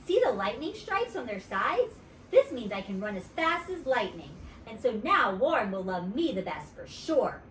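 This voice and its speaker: A woman voicing a boastful, competitive, excited schoolgirl in a storybook, showy and demanding. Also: fairly high pitch, normal speed. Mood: showing off. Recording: roomy, distant mic, faint noise.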